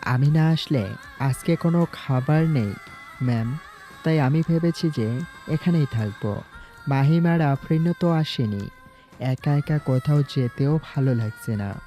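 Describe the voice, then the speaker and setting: Man acting a dark-voiced young student gangster. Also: on the high side, a little slow, lightly acted. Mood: meek, polite. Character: young university student, secretly a cunning gangster boss. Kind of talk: Bengali audio story acting. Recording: music bed under the voice.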